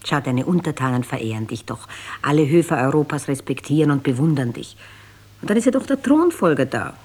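An actress playing a devoted, gently coaxing woman confidante in a radio drama, with a husky, breathy voice. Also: sits low, expressive, a little fast. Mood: soothing, reassuring. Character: soothing, devoted female confidante of an old emperor.

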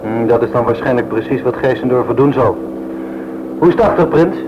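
An actor playing a brisk, alert male airline captain in a radio play, giving clipped questions and orders. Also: medium pitch, heavy background noise, natural voice. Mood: concerned, brisk. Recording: dull old radio recording, loud background.

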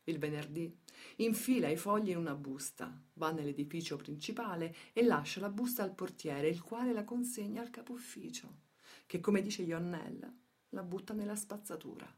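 Low-pitched woman narrating radio theatre. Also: moderate pace, husky. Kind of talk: scripted dramatic narration.

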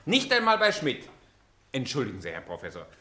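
An actor playing a middle-aged former pupil, teasing and emphatic, in an acted drama. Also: male voice, medium pitch, huge pitch swings, brisk, sharp tone. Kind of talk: acted radio-play dialogue. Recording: roomy, echoing room sound.

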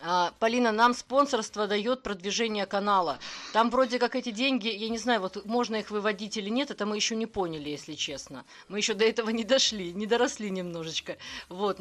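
A woman from southern Russia co-hosting a live stream, chatting casually and thanking viewers by name as she reads donations. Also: mid-range voice. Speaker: stream co-host reading donations.

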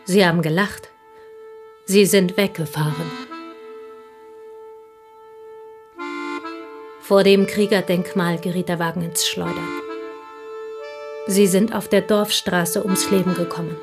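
A woman's voice: fairly low pitch, steady tone, a little slow, over music. Bitter small-town schoolteacher woman, radio drama, intense confessional delivery. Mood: grim recounting. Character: bitter, confessing French village schoolteacher, woman, jealous confidante. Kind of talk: intimate radio play acting in German.

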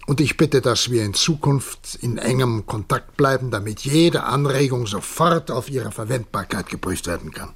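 An actor playing a grave, authoritative leader chairing a war council in a 1960s German radio drama, formal and emphatic. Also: male voice, mid-range voice, expressive, normal speed, few pauses, breathy edge. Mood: businesslike.

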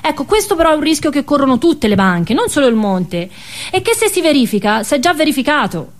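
A woman's voice: high-pitched, sing-song, fairly quick. A woman with a Tuscan accent performing a narrative stage monologue in a colloquial, ironic storytelling manner.

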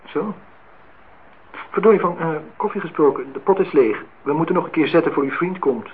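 A man's voice: a little high, brisk, phone-quality sound, natural voice. An actor playing a guarded, prickly, self-deprecating man in a naturalistic radio drama, on a noisy old recording. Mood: reluctant, uneasy. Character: reserved, prickly, self-deprecating male amateur painter.

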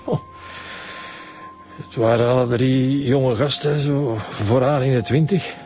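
A man's voice: medium pitch, steady tone, slow, a little mellow, faint music behind, natural voice. An actor in a radio drama playing an injured male business owner, weak and in pain in hospital. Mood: pained, weary.

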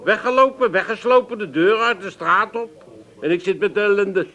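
An actor playing a henpecked, grumbling barkeeper in a comedic radio play. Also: male voice, high-pitched, moderate pace, bright, forceful tone, muffled recording. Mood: exasperated. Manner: exasperated, grumbling, sarcastic.

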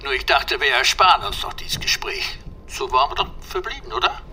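A wry older man in a radio crime play, evasive and reluctant. His medium-pitched voice swings with very lively intonation. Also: brisk, slight background noise. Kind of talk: German radio crime drama acting.

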